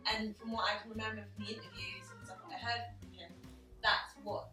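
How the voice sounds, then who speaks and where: A woman's voice: mid-range voice, little pitch movement, fast, distant mic. A woman chatting casually as a panelist in a group film discussion. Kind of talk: casual chat. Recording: echoey room, off mic, music playing behind.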